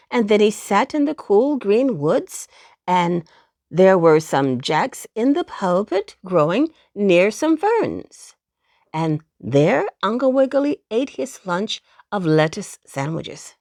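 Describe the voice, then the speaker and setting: A woman's voice: medium pitch, a little mellow, dry recording, clean studio sound. A woman narrating children's stories expressively, in a soothing manner that speaks straight to young listeners. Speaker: storyteller.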